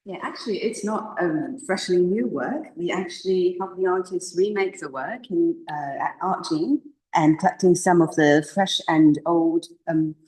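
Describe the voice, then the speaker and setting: A woman's voice: on the low side, measured pace, a little mellow, breathy edge, poor audio. A woman explaining exhibits as a curator, hesitant, with non-native English phrasing. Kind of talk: informal explanatory interview. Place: indoors in a gallery.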